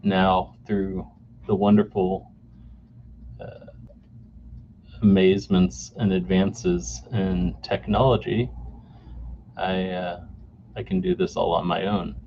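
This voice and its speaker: A man in his forties hosting a solo podcast off the cuff, halting with many fillers and restarts, recorded on a camera mic indoors. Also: low-pitched, level tone, dark tone. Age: 40s. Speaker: podcast host. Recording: dull lo-fi camera mic sound with faint noise.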